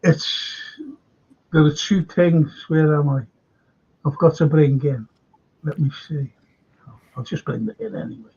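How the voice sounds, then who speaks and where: A man's voice: on the high side, measured pace, long pauses, mellow, slightly rough, dull audio. An older man hosting a casual fan chat show, rambling and chatty with lots of "you know". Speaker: host.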